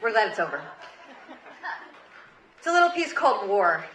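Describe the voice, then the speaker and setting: A woman from Queens, New York, reading a scripted comedic story live on stage, with theatrical, deliberate delivery and pauses for punchlines. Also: medium pitch, sing-song, a bit bright. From Queens, New York, United States. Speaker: comedy show host and storyteller. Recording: roomy live stage recording, faint noise. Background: audience ambience and faint noise.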